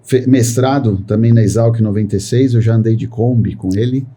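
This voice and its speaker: A man's voice: mid-range voice, steady tone, brisk, dark tone. A man hosting a podcast, speaking in long rambling sentences that he keeps tagging with filler questions. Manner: rambling, constant "né" tag fillers. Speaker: podcast host. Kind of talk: podcast conversation.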